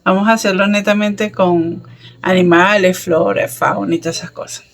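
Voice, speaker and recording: A woman giving a casual tarot reading, chatty and affectionate, with many fillers and soft laughs. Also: medium pitch, fairly even intonation, normal speed, creaky. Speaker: tarot reader. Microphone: camera set up over a table with cards.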